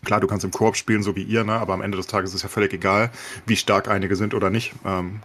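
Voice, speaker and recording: Low-voiced man in casual gaming chat. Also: flat delivery, quick pace, a bit bright, a little hiss or hum. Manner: colloquial German peppered with English gaming slang. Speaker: podcast panelist.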